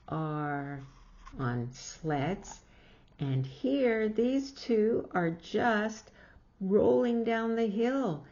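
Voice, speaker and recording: A woman's voice: mid-range voice, dark tone, dull audio. A woman reading a picture book aloud expressively in short phrases, with asides to young listeners. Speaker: storybook reader.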